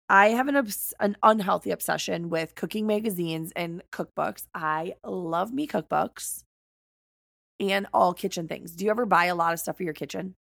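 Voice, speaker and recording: Creaky-voiced American woman, bluntly teasing. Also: medium pitch, a bit bright, no room sound, studio quality. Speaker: podcast co-host. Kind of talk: casual chat.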